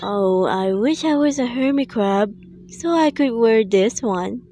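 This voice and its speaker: A woman's voice: on the high side, animated, unhurried, mellow, no echo, clean studio sound. A woman voicing a teasing, guilt-ridden older brother turtle hero in a theatrical, pleading way for a fan comic dub. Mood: playful gushing.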